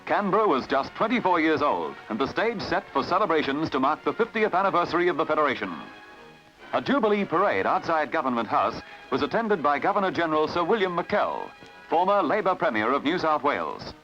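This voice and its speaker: A man with an Australian accent narrating a newsreel in a brisk announcer style, on a dull old archival recording with music behind. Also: a little high. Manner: brisk announcer delivery with lively intonation.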